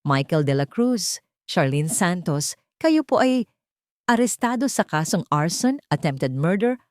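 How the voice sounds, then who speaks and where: A woman's voice: fairly low pitch, sing-song, measured pace, a little mellow, dry recording, clean studio sound. A woman voicing a stern male police officer in a story, making a formal announcement.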